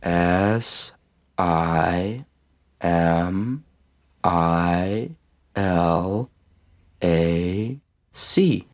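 A man voicing a patient, slightly baffled female doctor in a comedic narrated story, measured and instructive. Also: mid-range voice, very animated, gravelly, slightly rough, full character voice. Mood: slow, deliberate.

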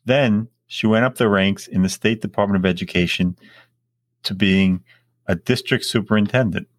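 A man's voice: on the low side, moderate pace, no room sound. A middle-aged man, co-hosting a podcast with scripted lines and wry, joking asides. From Long Island, New York. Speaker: podcast co-host. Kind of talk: scripted introduction with casual asides.

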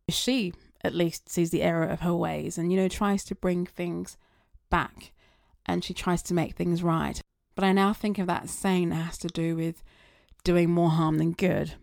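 A woman talking through her thoughts in a chatty, rambling monologue that wanders onto tangents, her voice getting slightly raspy. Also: on the low side, no room sound, clean studio sound. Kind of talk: casual reflective monologue.

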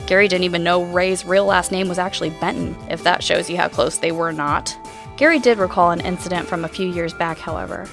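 An actress plays a thoughtful woman hosting an investigative mystery podcast. Her voice sits a little low, measured and reflective with a wry edge, over faint music. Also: close to an everyday voice. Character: thoughtful, inquisitive female radio host and podcast investigator. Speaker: podcast host and narrator. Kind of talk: scripted first-person mystery narration.

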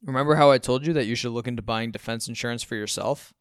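Male reader as stern businessman dad, calm, unemotional, dry, dismissive, clear tone, audiobook dialogue. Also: mid-range voice, quick pace, dry recording, natural voice. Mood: unmoved. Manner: calm, dry, matter-of-fact.

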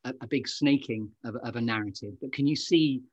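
A man's voice: medium pitch, a little fast, dry recording, muffled recording. A British man hosting an interview podcast, conversational and effusive, with playful, elaborate phrasing. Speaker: podcast host.

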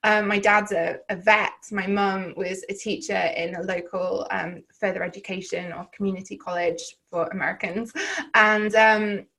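A woman's voice: mid-range voice, little pitch movement, moderate pace, gravelly, pushed and bright. A woman from Wales with a Southern English accent, telling personal stories as a podcast guest in a reflective, rambling way with frequent fillers and restarts. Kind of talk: candid personal storytelling in conversation.

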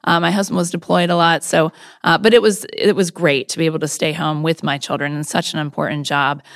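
A woman's voice: deep voice, fairly even intonation, a little fast, no echo, studio quality. A woman in her fifties from Florida, answering interview questions as a political candidate in an earnest, conversational way with frequent restarts.

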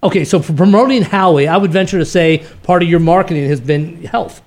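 A man's voice: a little high, fairly quick. A man hosting a casual talk show, friendly and rambling, often repeating words as he introduces and asks questions. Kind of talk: casual interview chat.

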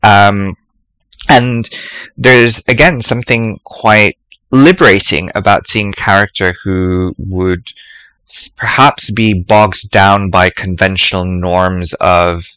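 Low, bright-toned male presenter; measured, reflective delivery with frequent pauses. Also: fairly even intonation, clear, resonant tone, no room sound, rough recording. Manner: thoughtful, measured, pauses often to choose words. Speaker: podcast presenter at an academic symposium. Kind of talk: reflective spoken essay.

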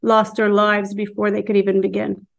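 A middle-aged woman shares her thoughts in a discussion. Her mid-pitched voice stays very even, and she speaks in a measured, halting, reflective manner. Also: vocal fry.

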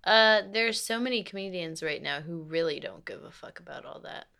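A woman's voice: lowish voice, animated, moderate pace, vocal fry, pushed and bright, clean tone, heavily acted. An actress playing a frank, principled lawyer and girlfriend in a radio play, speaking in a natural, articulate, matter-of-fact way. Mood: mildly exasperated.

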